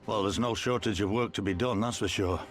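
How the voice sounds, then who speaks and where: Man voicing a deep, blunt, weary resistance commander. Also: fairly quick, lightly acted. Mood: matter-of-fact. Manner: blunt, matter-of-fact. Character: gruff weary resistance commander, short of troops. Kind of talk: scripted video game dialogue. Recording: game audio with music underneath.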